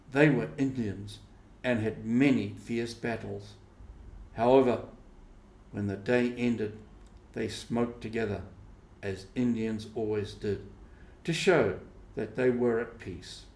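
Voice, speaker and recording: Slow-paced man narrating in an echoey room. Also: mid-range voice, fairly even intonation, a little mellow. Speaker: audiobook reader. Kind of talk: scripted storybook narration. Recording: roomy, echoing voice recording.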